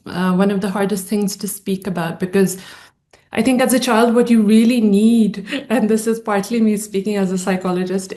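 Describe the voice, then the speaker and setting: A woman psychologist speaking as a panel guest, thoughtful and measured, often pausing to search for the right words. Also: mid-range voice, darkish tone. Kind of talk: reflective panel conversation.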